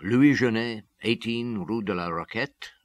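An actor in a radio drama playing a brooding, guilt-ridden police detective who tells things in a reflective, halting way. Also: male voice, fairly low pitch, no room sound, clean studio sound, close to an everyday voice. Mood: haunted, reflective. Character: brooding, guilt-ridden police detective, world-weary middle-aged man.

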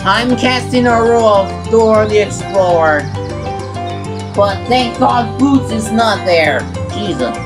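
Woman acting cartoon sea sponge, excitable, grumbling, dramatic, lively pitch, amateur skit, music behind. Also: slow pace. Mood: grudging, exasperated. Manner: dramatic, complaining, exclaiming. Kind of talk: amateur character skit for a storytime video.

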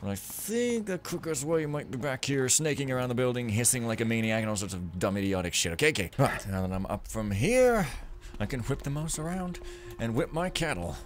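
A man's voice: mid-range voice, lively intonation, brisk. A man giving casual, rambling commentary while playing a stealth game, full of sarcastic jokes and asides. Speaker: let's play commentator.

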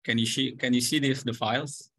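A middle-aged man presenting at an online academic panel, halting and full of fillers. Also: mid-range voice, fairly even intonation, a bit bright, dry recording. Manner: halting, frequent fillers and restarts. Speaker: panel speaker, professor. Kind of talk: conference presentation. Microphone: video call.

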